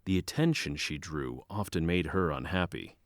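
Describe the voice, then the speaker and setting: A man's voice: rumbling low, animated, normal speed, no echo, clean studio sound. A man narrating a fantasy tale in a scripted storytelling style. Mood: measured.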